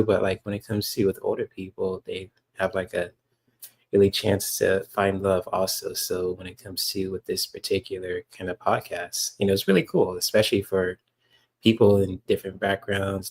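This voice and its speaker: A man hosting a casual reaction podcast who talks softly and rambles, with many "you know"s and restarts. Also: sits low. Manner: soft-spoken, rambling, many fillers. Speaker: podcast host reacting to a clip.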